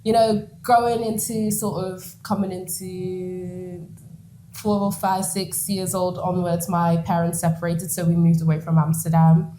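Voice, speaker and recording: A woman in her twenties from the Netherlands, telling her story as a guest in an interview, animated and quick to laugh. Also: lowish voice, slight background noise. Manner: animated, laughs often, acts out quoted lines.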